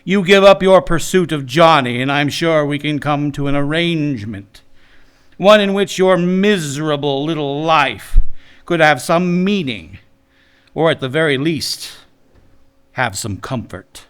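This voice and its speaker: A man's voice: fairly high pitch, expressive, measured pace, fairly bright tone, clear, resonant tone. A man playing a smug, menacing crime boss in a noir radio drama, condescending and mock-polite. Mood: coolly threatening.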